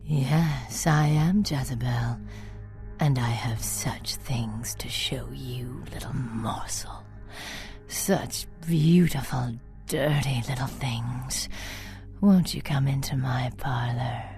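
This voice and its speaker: An actress playing a seductive, deranged vampire cult zealot in a video game, purring theatrically with predatory sweetness. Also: female voice, a bass voice, a little mellow, heavily acted. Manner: breathy, drawn-out, theatrical purring. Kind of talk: video game villain dialogue. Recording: music behind the voice.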